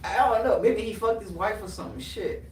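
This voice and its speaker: A man from Haiti with a Haitian accent, hosting a casual podcast chat with loud, laughing, crude banter. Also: high voice, fairly quick. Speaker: podcast host. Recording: echoey room, far from the mic, light noise.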